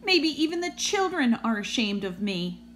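A plucky, proud old school bus from a children's storybook, talking in bright, lively bursts as a woman reader puts him on. The voice sits high and swings about a lot. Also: moderate pace, a little hiss or hum. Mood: hurt.

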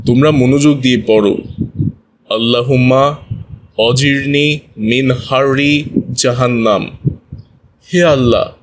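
A man's voice: mid-range voice, slight background noise, close to an everyday voice. A man voicing a kind, wise village religious teacher in a children's cartoon, patient and instructive. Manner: patient, didactic, measured phrasing.

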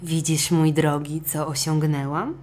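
A woman's voice: deep voice, flat delivery, measured pace, darkish tone, slight background noise. A woman voicing a haughty, demanding fisherman's wife turned queen in a children's storybook, imperious and scornful. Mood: boastful.

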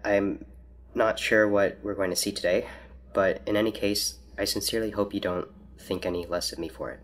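A man playing a calm, earnest, analytical scientist in an understated audio drama, measured, formal and precise. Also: lowish voice, lightly acted. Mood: thoughtful, reserved. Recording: faint background ambience.

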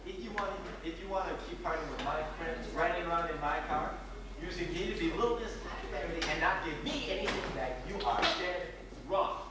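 High-pitched, sneering teenage bully acted by a boy. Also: brisk, lightly acted. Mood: sneering, confrontational. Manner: taunting, confrontational. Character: domineering, sneering teenage boyfriend and school bully. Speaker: student actor in a school play. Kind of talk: amateur stage acting in rehearsal. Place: school room with wooden floor. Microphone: far camcorder. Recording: noisy camcorder, echoey room, distant mic.

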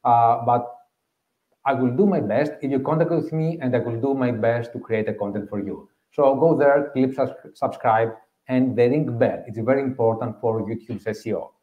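A man's voice: medium pitch, moderate pace. A man in his thirties with a Greek accent gives a conference tech talk, explaining step by step and repeating key points. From Greece. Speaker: conference speaker. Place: meeting room with audience. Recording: roomy, slightly dull live room audio.

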